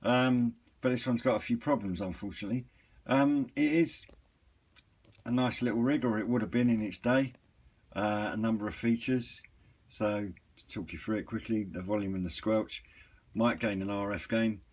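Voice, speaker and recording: A man giving a casual, unscripted product walkthrough in a hesitant, rambling, matter-of-fact way, with a slightly husky voice. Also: on the low side, moderate pace, darkish tone. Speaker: hobbyist reviewer and seller of used CB radios.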